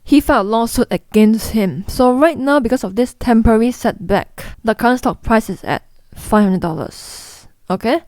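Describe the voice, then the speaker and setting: Brief: young Singaporean-accented woman, fairly high voice, blunt and chatty vlogger, dry close recording. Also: moderate pace, a little mellow, dry recording. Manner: blunt, chatty, mimics people in quotes. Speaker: investing vlogger. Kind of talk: direct-to-camera explainer.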